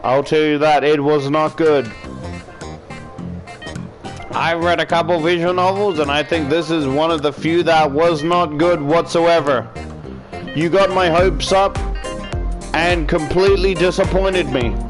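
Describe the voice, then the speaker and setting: A man's voice: fairly high pitch, steady tone, measured pace, pauses often, music in the background. A man giving a casual, rambling game review in a profane, exasperated, ranting manner with emphatic repetition. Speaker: video game reviewer, YouTuber.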